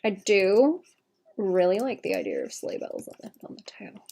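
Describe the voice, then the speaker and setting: A young woman with a gravelly, hoarse voice chats casually while sketching on a livestream, often correcting herself and softly laughing. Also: mid-range voice, wildly swooping pitch, normal speed. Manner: chatty, self-correcting, many "like"s and soft laughs. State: hoarse, suppressing a cough. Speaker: digital artist streaming a commission. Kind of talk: casual thinking-aloud commentary while sketching.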